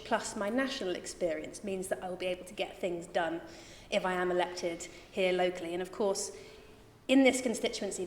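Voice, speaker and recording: A woman's voice: mid-range voice, slight background noise. A woman from England standing as an election candidate, giving an upbeat campaign speech with frequent ums. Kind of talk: campaign speech at a public hustings. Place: church hall. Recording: echoey church hall, mic at a distance.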